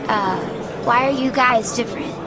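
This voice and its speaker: A woman's voice: on the high side, normal speed, close to an everyday voice. A woman playing an eager, friendly child in an audio drama. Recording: very loud crowd and ambient noise.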